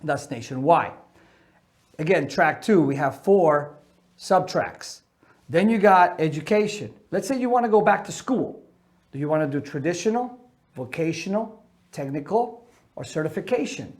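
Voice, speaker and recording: A middle-aged man from Ecuador coaching veterans in a seminar talk, earnest and emphatic, his voice swinging between loud and soft. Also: a little high, animated, moderate pace. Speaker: military transition coach.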